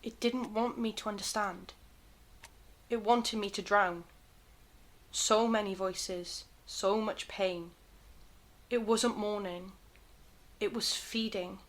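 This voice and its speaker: A woman's voice: medium pitch, steady tone, takes it slowly, pauses often, close to an everyday voice. A woman playing a shaken, defiant survivor of an ordeal in an audio drama, intense and earnest. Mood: haunted.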